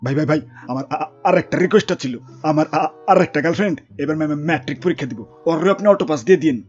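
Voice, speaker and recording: A man's voice: fairly high pitch, rapid-fire, lo-fi sound, music in the background, natural voice. A man voicing a stammering, naive young man with a Bangladeshi accent in a comic cartoon. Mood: eager, pleading. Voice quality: stutter.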